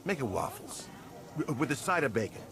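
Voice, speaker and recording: An actor playing a polite, easygoing police officer in a video game, talking casually and mildly. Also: male voice, medium pitch, very animated, brisk, fairly bright tone, slight background noise. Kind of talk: naturalistic game acting.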